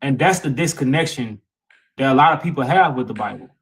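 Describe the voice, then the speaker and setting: A man's voice: mid-range voice, expressive, fairly quick, poor audio. A man co-hosting a religious live-stream panel, arguing and explaining with emphatic repetition and casual grammar. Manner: emphatic, repeats phrases, informal grammar. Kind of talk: heated live-stream debate and preaching.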